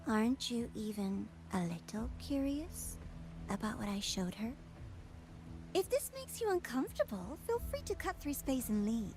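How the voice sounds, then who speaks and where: A woman's voice: fairly high pitch, sing-song, moderate pace, music in the background. A woman playing a sly, mockingly courteous female villain in a video game, with a playful, taunting, theatrical delivery. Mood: taunting, silky. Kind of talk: game voice acting.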